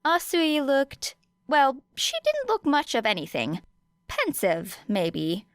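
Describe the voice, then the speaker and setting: A woman's voice: high-pitched, huge pitch swings, slow, dry recording, clean studio sound. A woman reading scripted fiction as an audiobook narrator. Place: close, dry recording space.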